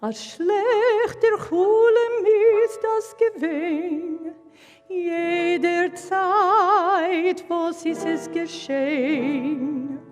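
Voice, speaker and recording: A woman's voice: very high-pitched, very slow, mellow, in a large hall, faint music behind. A woman cantor singing a solo in an operatic style. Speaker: cantor, singer.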